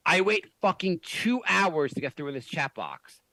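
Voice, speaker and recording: A man hosting a podcast, chatting casually, animated and swearing often with many restarts. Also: fairly high pitch, moderate pace, no echo. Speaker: podcast host. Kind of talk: casual chat.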